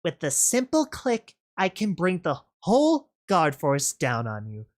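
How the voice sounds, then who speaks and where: A woman's voice: on the low side, wildly swooping pitch, unhurried. A woman voicing a cruel, arrogant female pegasus tyrant in a story, with a guttural, taunting, menacing delivery. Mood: smug menace. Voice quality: guttural, growling.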